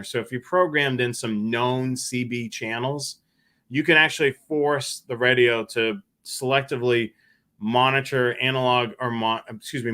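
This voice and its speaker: Guy doing a casual livestream, bright forceful voice, kinda rambly and hesitant. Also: medium pitch. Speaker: host of a scanner radio live show. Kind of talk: casual live stream talk, answering viewer questions.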